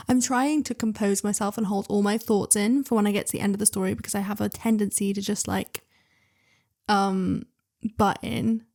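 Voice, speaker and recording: A young woman hosting her channel, reading online stories aloud with chatty, excitable commentary and asides. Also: medium pitch, normal speed. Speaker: reader and channel host.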